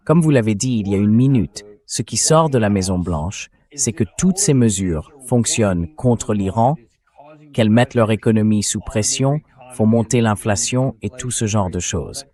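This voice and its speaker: A man's voice: lowish voice, a little mellow, close to an everyday voice. A man reading a translated voice-over as the host of a political interview, commenting and leading into questions.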